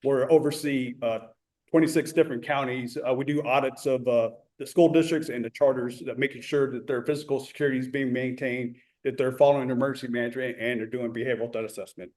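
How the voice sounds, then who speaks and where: A man introducing himself as a board candidate at a public meeting, earnest and hesitant, pausing often. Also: medium pitch, fairly even intonation, darkish tone. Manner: earnest, with frequent "uh" fillers. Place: community meeting room.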